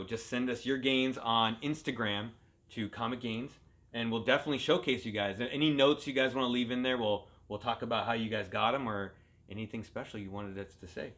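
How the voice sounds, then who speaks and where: A man's voice: mid-range voice, pushed and bright. A man in his thirties hosting a show in casual chat from a living room. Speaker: host of a comic collecting show.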